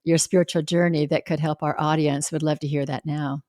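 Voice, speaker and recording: A woman's voice: sits low, level tone, a little fast, a little mellow, dry recording, clean studio sound. A woman podcast host introducing a guest and asking interview questions.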